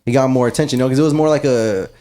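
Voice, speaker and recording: A man's voice: medium pitch, racing, dark tone. A man on a podcast, talking casually in a rambling way full of fillers, restarts and swearing. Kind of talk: casual chat.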